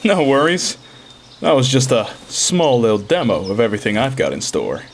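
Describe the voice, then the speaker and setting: A man voicing a brash, confident swordsman mentor in an animated fan dub, talking in a casual, cocky, slangy way. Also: medium pitch, normal speed, slight background noise, natural voice. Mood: cocky, assured. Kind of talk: fan dub character acting. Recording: loud music under the voice.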